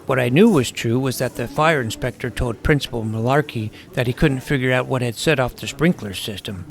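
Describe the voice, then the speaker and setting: A man reading a first-person story aloud in a wry, deadpan manner. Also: medium pitch, fairly quick, creaky. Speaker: audiobook narrator. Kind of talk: first-person scripted narration.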